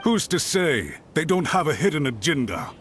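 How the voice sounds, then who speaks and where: A nervy game retainer with a fairly high, lively male voice. He swings up and down in pitch, formal, jumpy and suspicious of everyone. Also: moderate pace, slight background noise. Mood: lecturing. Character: anxious, suspicious male retainer, highly strung.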